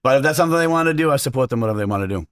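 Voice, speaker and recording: A middle-aged man from south of Boston with a Boston accent, talking about his restaurant as an interview guest, quick and full of fillers. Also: medium pitch, expressive, smooth, no room sound, studio quality. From Massachusetts, south of Boston. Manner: fast-talking, lots of fillers. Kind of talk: casual interview chat.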